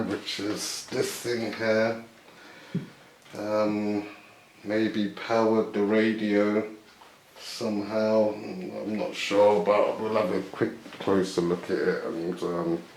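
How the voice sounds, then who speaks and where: Low, monotone man in an echoey room. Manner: hesitant, tentative, often guessing aloud. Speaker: video host showing vintage items. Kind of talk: casual unscripted show-and-tell.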